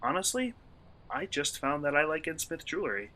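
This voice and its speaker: A man playing a polite, inquisitive traveler in an eerie radio play, speaking in a courteous, measured, bookish way. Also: fairly high pitch, big pitch swings, sharp tone. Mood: polite, curious. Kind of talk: radio play acting and narration.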